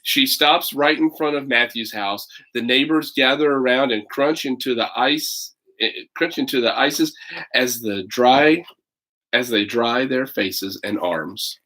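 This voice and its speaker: A man's voice: medium pitch, a little slow, sharp tone, low-quality recording. A man reading a children's picture book aloud, breaking off for casual, folksy asides to his listeners. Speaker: read-aloud storyteller.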